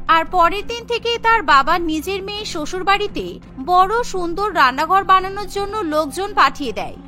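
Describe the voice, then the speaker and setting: A woman's voice: extremely high pitch, lively intonation, normal speed, sharp tone, faint music behind. A woman reading scripted narration for a cartoon story.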